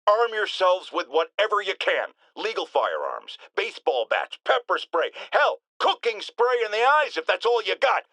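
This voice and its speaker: Cranky pompous new radio host ranting, high pitched for a man, sharp forceful voice, super emphatic, video game character. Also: no room sound, exaggerated voice. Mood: rallying. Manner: bombastic, emphatic, indignant, theatrical. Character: blustering, self-important, cranky male talk-radio host and tabloid publisher. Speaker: radio talk show host. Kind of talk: ranting talk-radio monologue.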